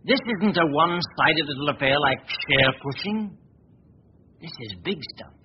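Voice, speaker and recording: A suave gentleman detective who teases and needles with a lively, rising and falling delivery. The old radio recording sounds muffled, with a faint hiss underneath. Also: a little high, unhurried. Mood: pressing. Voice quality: ironic, urbane. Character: suave, sardonic male amateur detective. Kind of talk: 1940s radio acting.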